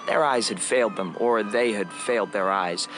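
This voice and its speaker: An actor playing a wistful young son who narrates his memory play in a poetic, measured radio drama monologue, addressing the audience. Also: on the low side, background noise, natural voice. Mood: wry, lyrical.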